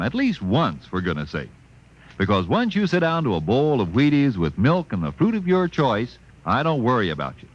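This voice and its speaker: A man giving a folksy, chatty, emphatic sales pitch in old-time radio advertising style, on a dull vintage recording. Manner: chatty, emphatic, direct address. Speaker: commercial pitchman.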